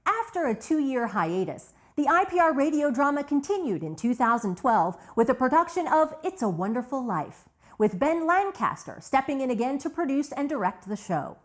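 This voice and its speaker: A woman's voice: sits high, big pitch swings, moderate pace. A woman narrating a scripted documentary retrospective as its host.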